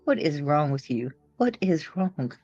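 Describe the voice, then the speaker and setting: A woman's voice: low voice, sing-song, unhurried, a little mellow, no room sound, highs cut off, faint music behind. Casual woman co-hosting a livestream. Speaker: co-host and script reader. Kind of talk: casual livestream chat and script reading.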